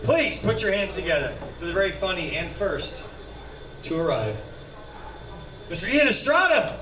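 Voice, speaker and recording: A male comedy host with a slightly high voice introduces acts in a chatty, scattered manner. The sound is roomy and noisy. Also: very animated, normal speed. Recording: echoey, noisy room, far from mic.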